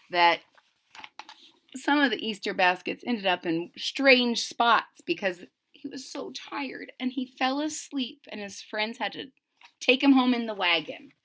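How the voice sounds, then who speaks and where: A woman reading a picture book aloud to children in a dramatic, animated way, stopping to explain things. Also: mid-range voice. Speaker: children's story reader. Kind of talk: expressive read-aloud with explanatory asides to children.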